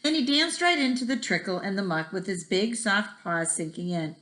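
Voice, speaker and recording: A woman's voice: mid-range voice, expressive, normal speed, a bit bright. A woman reading a children's picture book aloud expressively, with a rhythmic delivery that draws out repeated words. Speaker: read-aloud storyteller. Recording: echoey room.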